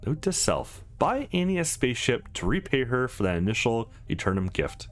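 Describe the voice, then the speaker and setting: A man's voice: medium pitch, sing-song, normal speed, faint music behind, lightly acted. A man voicing an easygoing, wry young man on a date in a visual novel, casual and joking.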